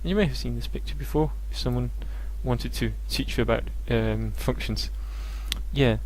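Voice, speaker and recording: A man's voice: mid-range voice, normal speed, darkish tone, slight background noise, rough recording. A young man, a native Danish speaker, teaching maths in a casual live tutorial, hesitant and full of fillers and restarts.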